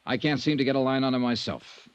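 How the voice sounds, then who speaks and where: An actor playing a steady, probing insurance investigator in a 1950s radio detective drama, with terse, measured questions. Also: male voice, medium pitch, clear, resonant tone, no room sound, lightly acted. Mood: worried, earnest. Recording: dull vintage radio recording.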